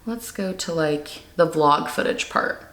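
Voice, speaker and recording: Young woman rambling at home about her old vids, lowish voice, echoey room. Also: a little slow, fairly bright tone. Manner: chatty, lots of "like" fillers, pitch swings with emotion. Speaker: youtuber reacting to her old videos. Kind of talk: casual reaction chat.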